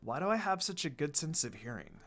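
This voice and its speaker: A man's voice: a little high, big pitch swings, brisk, a bit bright. A man voicing a hot-tempered teenage ninja girl in an emphatic, exasperated way for a fan fiction reading. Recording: music behind the voice.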